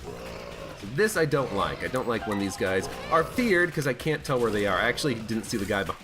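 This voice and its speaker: A man with a stuffed-up, hoarse voice, chatting casually as he plays a video game. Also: lowish voice, very animated, normal speed. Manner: chatty, thinking aloud while playing. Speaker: gaming channel host. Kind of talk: casual let's play commentary. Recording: background game music.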